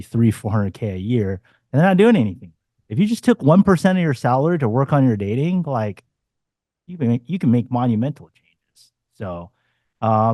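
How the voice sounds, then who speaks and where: A man's voice: medium pitch, a little mellow, dry recording. Blunt, swearing dating coach in his forties. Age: 40s. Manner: blunt, swearing, punchy asides. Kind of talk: casual panel discussion.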